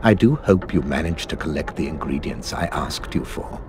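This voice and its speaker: A man playing a cold, middle-aged male potions professor in a video game, with a British accent and sarcastic, measured, formal speech. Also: a bass voice, animated, background noise. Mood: cold, mocking.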